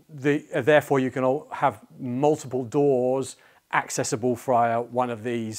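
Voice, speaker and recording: Middle-aged man demonstrating tech, conversational and halting. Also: medium pitch. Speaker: tech reviewer, presenter. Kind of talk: casual product demonstration and walkthrough. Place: small indoor studio office. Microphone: lapel mic.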